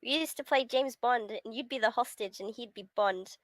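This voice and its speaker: A woman's voice: a little high, moderate pace, no echo. A woman streamer reading a visual novel aloud, giggly and breaking from the script with casual reactions. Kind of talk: live stream read-aloud with casual asides.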